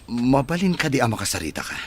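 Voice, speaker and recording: A man's voice: mid-range voice, sing-song, fast, slight background noise, lightly acted. A man playing a rich, cold, arrogant bachelor son in a radio-style drama, curt and scornful in delivery. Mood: coaxing.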